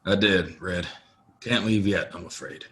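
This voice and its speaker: Man playing a low-voiced polite cowboy stranger. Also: measured pace, fairly bright tone. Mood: easygoing. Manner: courteous, understated. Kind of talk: audio play western dialogue.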